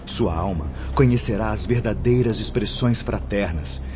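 A man plays a charismatic spiritual brother in a radio drama, lecturing solemnly in a low voice with a Brazilian accent. His delivery is formal and oratorical, on a dull recording. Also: normal speed, close to an everyday voice. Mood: solemn, preaching. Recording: dull lo-fi audio with background noise.

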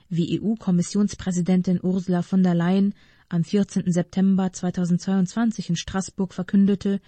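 Mellow woman reading an article, dry studio sound. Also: mid-range voice, dry recording. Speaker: reader of a press article podcast. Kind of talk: scripted article narration.

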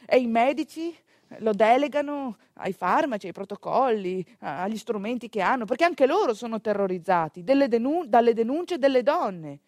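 A woman delivering a theatrical monologue in a conversational way, with pointed pauses and rhetorical asides. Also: a little high, expressive, darkish tone, no echo, clean studio sound. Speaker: stage actress narrating a monologue.

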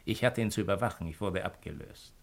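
An actor playing a cold, calculating Roman imperial agent in a radio drama, speaking in a measured, insinuating way. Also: male voice, low-pitched, creaky. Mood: cool, self-assured. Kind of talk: 1950s German radio drama acting.

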